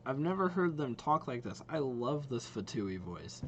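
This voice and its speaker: A man hosting a reaction video, giving casual commentary as he thinks aloud in a halting way. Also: medium pitch, sing-song, a bit bright, highs cut off, a little hiss or hum.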